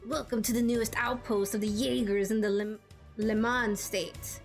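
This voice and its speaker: Woman as masked mercenary villain, high-pitched, contemptuous, taunting, drawn-out game dialogue, music behind. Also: steady tone, close to an everyday voice. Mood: mocking. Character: menacing masked Jaeger villain, sneering and contemptuous.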